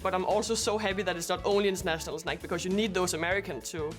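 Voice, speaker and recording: A woman's voice: lowish voice, fairly even intonation, rapid-fire, music in the background. Chatty young woman basketball player. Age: young adult. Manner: chatty, lots of "like". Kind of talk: interview.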